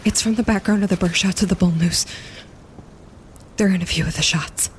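A woman's voice: on the low side, steady tone, fairly quick, lightly acted. Audio drama: woman playing anxious, insistent female nature photographer, natural delivery, noisy outdoor ambience. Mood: alarmed, urgent.

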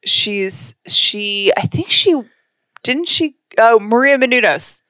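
A woman's voice: on the high side, lively intonation, slow, bright, forceful tone, no echo. A woman co-hosting a podcast, chatting casually and poking fun at herself. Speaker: podcast co-host. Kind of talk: casual chat.

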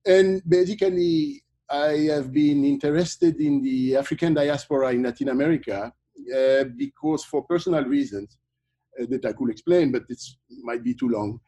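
A man's voice: mid-range voice, moderate pace, warm tone. A man in his sixties, raised in Belgium, answering interview questions as a professor, hesitant with many fillers and restarts. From Democratic Republic of Congo, raised in Belgium. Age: 60s.

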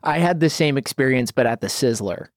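A man's voice: medium pitch, no echo, studio quality. Laughing man hosting a podcast. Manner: laughs often, bursts into quick excited asides. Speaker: podcast host. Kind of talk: casual chat.

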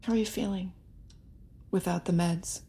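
An actress in an audio drama playing a calm therapist with a measured, soft-spoken, gently challenging manner. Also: female voice, on the low side, vocal fry, slightly rough, full character voice. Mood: calm, probing. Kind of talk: naturalistic audio drama acting.

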